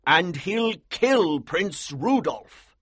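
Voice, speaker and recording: A commanding army colonel from an adventure storybook. The voice is husky and pitched high, swinging widely as he slowly hammers out each phrase with forceful urgency. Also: very animated, dry recording, exaggerated voice. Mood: persuading. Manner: slow, emphatic, commanding. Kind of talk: audiobook character acting.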